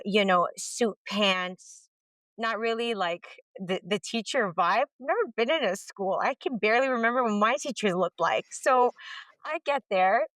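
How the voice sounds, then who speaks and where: A young woman from northern Lebanon, a middle school teacher, telling her story in an expressive, animated way and acting out the dialogue. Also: dry recording. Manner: expressive, acts out dialogue with sudden pitch jumps.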